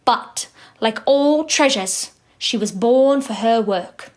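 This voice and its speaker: A woman playing the narrator of a children's storybook tale in an audio drama, with a measured storytelling manner. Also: a little high, a little mellow.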